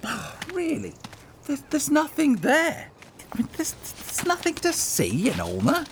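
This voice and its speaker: A nervous, whiny guide in a comedic radio play. His high voice swings wildly up and down as he stammers through evasive excuses. Water sounds run clearly behind him. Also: wildly swooping pitch, a little slow, slight background noise, full character voice. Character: nervous, reluctant male woodland guide, evasive and eager to please. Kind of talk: comedic audio drama acting.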